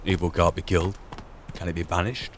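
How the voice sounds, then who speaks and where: A man's voice: low voice, flat delivery, fairly quick, slight background noise. A man giving reflective solo commentary on a roleplay playthrough, posing philosophical questions to himself as he goes. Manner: musing, philosophical, poses rhetorical questions. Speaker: gamer narrating a roleplay playthrough. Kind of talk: reflective solo game commentary, musing in and out of character. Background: video game music.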